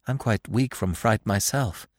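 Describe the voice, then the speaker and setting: A man's voice: fairly low pitch, unhurried, dry recording, clean studio sound. A man voicing a frightened but commanding, protective mother deer in a storybook. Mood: shaken.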